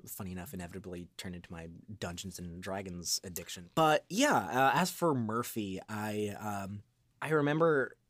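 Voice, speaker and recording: Low-pitched young man chatting, very dry sound. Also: expressive, moderate pace, fairly bright tone, no echo. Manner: hesitant, rambling delivery. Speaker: voice actor guest. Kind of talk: casual interview chat.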